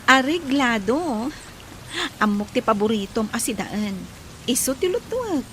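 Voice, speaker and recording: A woman's voice: fairly high pitch, sing-song, unhurried, a bit bright, a little hiss or hum. A woman playing a sweet young village woman in an Ilocano comedy radio drama, with an Ilocano Filipino accent. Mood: affectionate, teasing. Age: young adult. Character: sweet young village woman attached to her childhood friend.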